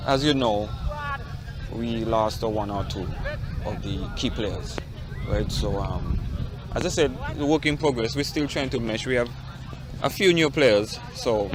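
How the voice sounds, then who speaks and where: A man's voice: mid-range voice, a little slow, poor audio. A man giving an informal sports interview as a football team manager.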